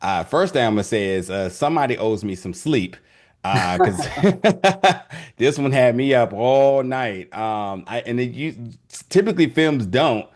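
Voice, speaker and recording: A man's voice: mid-range voice, lively intonation, measured pace, a little mellow. A young man hosting a casual video interview, talking loosely with many restarts and fillers.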